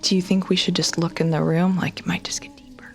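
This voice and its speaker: Woman with lots of vocal fry chatting during a fantasy game, music behind. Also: fairly low pitch, expressive, fast talker. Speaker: player in a tabletop roleplaying game. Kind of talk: casual game-table chat. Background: music, rain effects.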